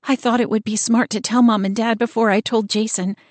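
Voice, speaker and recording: A woman's voice: mid-range voice, steady tone, normal speed, darkish tone, no echo, natural voice. A woman voicing a frightened young woman who talks in a shaky voice close to tears. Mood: tearful. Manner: shaky, close to sobbing, then bitter. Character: frightened, tearful young woman, a protective older sister.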